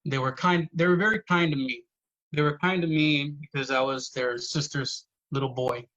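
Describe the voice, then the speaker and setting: A man's voice: fairly high pitch, fairly even intonation, normal speed, sharp tone, highs cut off. A man, a poet guest on a podcast, telling family stories earnestly, swearing freely and saying "you know" a lot. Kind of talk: casual podcast conversation.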